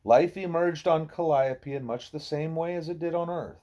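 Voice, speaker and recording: A man voicing a wise, patient gorilla teacher who lectures in a deliberate, formal way. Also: on the high side, warm tone, voice pushed up, close to an everyday voice. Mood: patient lecturing.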